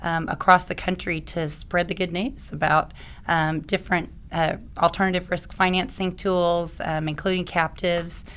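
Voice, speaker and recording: Raspy, low-voiced woman, flat delivery. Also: normal speed. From Oklahoma. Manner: friendly, fluent explaining with frequent ums. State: hoarse from allergies. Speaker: podcast guest, risk advisor and attorney. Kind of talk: conversational podcast interview.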